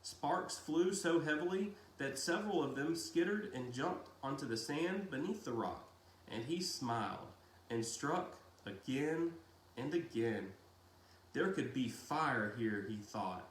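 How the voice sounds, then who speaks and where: A man reading a novel aloud in a deliberate storytelling style with dramatic pauses. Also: mid-range voice, lively intonation. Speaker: reader of a children's novel read-aloud. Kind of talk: audiobook-style narration with brief casual asides. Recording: roomy echo, faint background noise.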